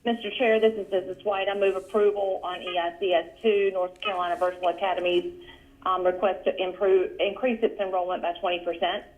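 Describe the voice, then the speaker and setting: A woman's voice: fairly low pitch, level tone, brisk, narrow, tinny audio. A woman speaking formally as a board member on a conference call. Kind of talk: formal meeting remarks.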